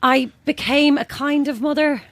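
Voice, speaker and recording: A woman's voice: sits high, steady tone, moderate pace, a bit bright, no echo. A woman playing an evasive, motherly nurse in an audio horror drama, placating and controlled. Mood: earnest, controlled. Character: calm, evasive motherly nurse leading a spiritualist group.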